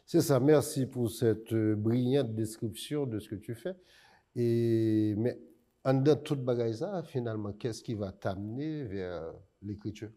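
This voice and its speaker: A man's voice: mid-range voice, a little slow, warm tone. A man hosting an interview, asking questions and murmuring agreement as he listens attentively. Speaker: host.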